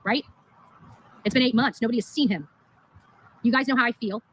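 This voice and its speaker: Fast, high-voiced woman venting on livestream. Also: husky, rough recording. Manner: emphatic, repetitive, indignant. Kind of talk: live stream monologue, venting.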